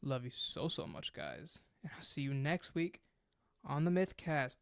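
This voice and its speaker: A man's voice: mid-range voice, big pitch swings, measured pace, gravelly, dry recording, studio quality. A young man in his twenties hosting a podcast alone, chatting casually and jokingly with lots of fillers. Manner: chatty, rambling, jokey, with many fillers. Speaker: podcast host. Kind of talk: casual solo podcast chat.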